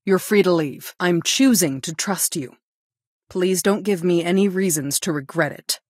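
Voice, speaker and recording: Game role: stern female guidance counselor, measured and reproachful, slightly low register, dry studio sound. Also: a little mellow, no echo. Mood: relieved, cautious. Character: stern female school guidance counselor, adult, strict and disappointed. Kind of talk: video game voice acting, scolding lines.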